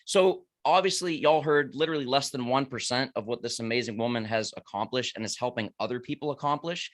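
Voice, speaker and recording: A man hosting a podcast interview, talking in an energetic, emphatic way. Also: medium pitch, a little fast. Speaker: podcast host. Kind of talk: casual interview.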